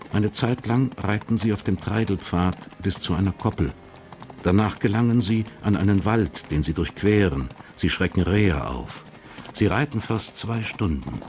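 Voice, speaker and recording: An actor as the detached narrator of a historical crime radio drama, measured and factual. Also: male voice, fairly low pitch, darkish tone, lightly acted.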